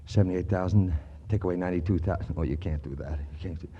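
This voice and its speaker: An actor playing a reckless, excitable young millionaire in a theatrical 1940s radio comedy style. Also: male voice, low voice, quick pace, husky, lo-fi sound. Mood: exasperated, brisk. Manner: theatrical, exclamatory.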